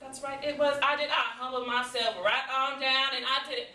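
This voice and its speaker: A woman puts on a fed-up wife and mother, shrill and high, in an echoey room. She scolds her husband in a loud comic stage monologue, dragging out words for emphasis. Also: flat delivery, brisk. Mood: wounded, exasperated. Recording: echoey room, distant mic, background noise.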